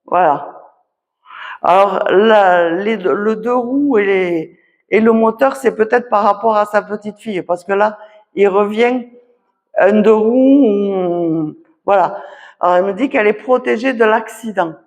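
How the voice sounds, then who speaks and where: Woman, magnetiser at a public talk; unhurried and halting, checks in often, recorded in a reverberant hall. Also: medium pitch, darkish tone. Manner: halting, punctuates phrases with "voilà" and "d'accord". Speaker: magnetiser giving readings. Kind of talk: spoken readings at a public talk. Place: association conference hall.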